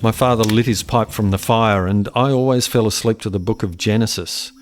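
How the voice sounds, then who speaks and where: A man acts a haunted settler in a literary radio play. His voice sits fairly low, and he speaks in measured, poetic phrases with a brooding weight. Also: slight background noise, natural voice.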